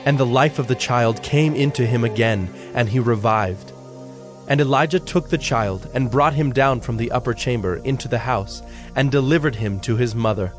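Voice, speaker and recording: A man narrating scripture from a script in a measured, solemn way. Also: mid-range voice. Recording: music under the voice.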